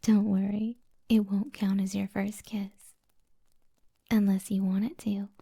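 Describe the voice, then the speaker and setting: A kind witch of the woods, acted by a woman, murmuring in an intimate ASMR roleplay. The voice is very dark and soft, with a gravelly fry under the breathy whisper. The delivery stays even, soothing and gently flirty, teasing the listener. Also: mid-range voice, a little slow. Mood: tender, teasing.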